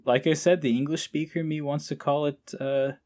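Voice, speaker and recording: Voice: mid-range voice, fairly even intonation, fairly quick, darkish tone. An easygoing podcast guest chatting casually in a hesitant, self-deprecating way. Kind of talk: casual chat.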